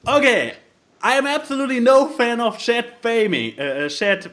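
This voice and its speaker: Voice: medium pitch, very animated, normal speed, a bit bright. A podcast co-host in casual chat, commenting in a theatrical, emphatic way and stumbling over words.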